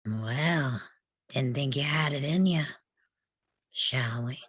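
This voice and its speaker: A man's voice: medium pitch, slow pace, a bit bright, breathy edge, no echo. A man reading a menacing, terse and cold cowboy outlaw in a live table read of a western script. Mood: cool, guarded.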